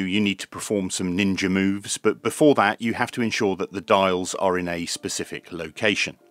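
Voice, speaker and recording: A man with a fairly low voice presents a hands-on product demonstration. He explains each step methodically in an informal reviewer's style. The sound is dry, with very little room echo, as if recorded in a small room at a desk. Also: fairly bright tone. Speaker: radio reviewer, channel host.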